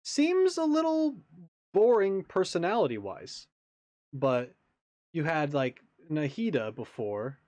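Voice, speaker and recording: A man's voice: a little high, big pitch swings, takes it slowly, darkish tone, no room sound. A man hosting a gaming reaction video, thinking aloud in casual, tentative, improvised commentary. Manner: tentative, musing.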